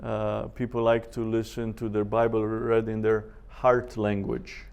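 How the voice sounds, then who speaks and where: A man teaching a Bible seminar as a professor, speaking in a measured way with deliberate pauses, scripture quotations and rhetorical questions. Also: fairly low pitch, monotone, vocal fry, a little mellow, clear, resonant tone.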